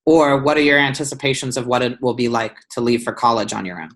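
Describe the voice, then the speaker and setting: A young man coaches a student through her essay on a video call. He talks at an ordinary middle pitch, pausing with ums and restarting sentences as he thinks. The sound comes through a webcam mic in a small room. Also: steady tone, fairly bright tone. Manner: thoughtful, many ums and restarts. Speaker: writing tutor. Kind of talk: one-on-one essay feedback over video call.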